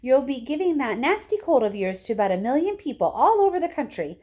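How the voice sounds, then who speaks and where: Lady doing a frantic bossy storybook mum, shrieky and shrill, voice jumps way up high. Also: big pitch swings, brisk, dark tone. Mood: scolding. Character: hysterical, shrieking, indignant mother. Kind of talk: children's storybook read aloud.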